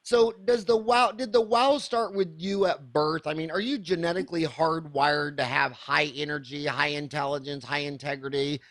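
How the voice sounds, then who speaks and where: A man's voice: a little high, normal speed, hardly any pauses, fairly bright tone. A man in his fifties hosting an interview podcast, enthusiastic and rambling, with many restarts. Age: 50s. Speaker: podcast host. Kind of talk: casual interview.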